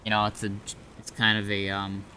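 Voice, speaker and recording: A man streaming a game as an admin, chatting casually in a halting, explanatory way with some swearing. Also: on the low side, completely flat delivery, sharp tone, a little hiss or hum.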